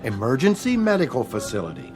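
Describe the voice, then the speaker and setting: An easygoing male cop in a radio drama, his pitch moving up and down freely. He is soothing, folksy and lenient. Light music plays beneath. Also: wildly swooping pitch, fairly quick, a little mellow. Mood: sympathetic. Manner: reassuring, folksy. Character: easygoing, sympathetic police officer, soothing and lenient. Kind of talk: dramatic radio play acting.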